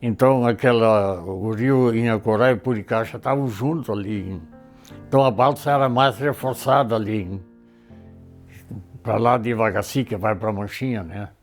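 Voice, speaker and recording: A man's voice: on the low side, soft background music. Rambling ninety-year-old man reminiscing. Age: 90s. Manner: rambling, slow recollection full of filler tags. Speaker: interviewee. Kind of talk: documentary interview reminiscence.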